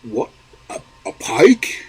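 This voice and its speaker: A man voicing a stern, blustering official secretary in a folk tale reading, with a husky voice. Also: takes it slowly, natural voice. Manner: stern, demanding.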